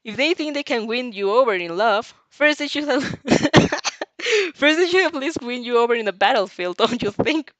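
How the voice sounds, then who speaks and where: A woman's voice: fairly high pitch, lively intonation, normal speed, fairly bright tone, no echo, voice pushed up. A young woman voicing a smug, doting father in a fan fiction read-aloud, boastful and giggly. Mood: smug, teasing.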